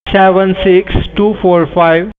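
A man with a fairly high voice delivers scripted instructions in a formal, insistent way. He comes through a thin, tinny phone line. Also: level tone, slow, clear, resonant tone. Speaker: scam caller posing as an officer.

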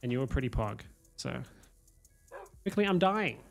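A man, streaming a game from home on a headset, hoarse from a cold, swearing and joking with chat. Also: medium pitch, sing-song, normal speed, soft background music. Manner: swearing, self-mocking, chatting to viewers. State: hoarse, losing his voice from a cold. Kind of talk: casual live-stream chatter while playing.

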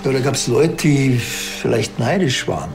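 An actor playing a dry, rambling policeman in a TV crime comedy, deadpan and hesitant. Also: medium pitch, moderate pace, music in the background. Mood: dry, probing. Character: dry, slow-witted rambling Bavarian policeman.

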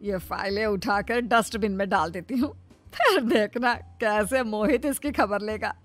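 A woman's voice: medium pitch, a little slow, soft background music, natural voice. A woman voicing a vengeful, scolding middle-aged mother-in-law in a family cartoon. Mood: scheming, gleeful. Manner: scolding, spiteful, defiant. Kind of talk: animated family drama voice acting.

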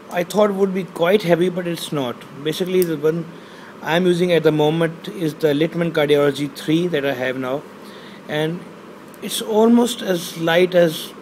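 Man, slightly high voice, halting with fillers, faint hum. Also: darkish tone, poor audio. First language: Urdu. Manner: hesitant, many fillers, reads box labels aloud. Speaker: unboxing reviewer. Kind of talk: casual product unboxing. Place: indoors, small bedroom. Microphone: handheld phone camera.

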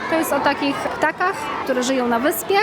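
A woman's voice: high voice, normal speed. A woman giving casual opinions as a parent in a vox pop. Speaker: parent interviewee. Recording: loud crowd and noise in public space. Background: loud crowd din.

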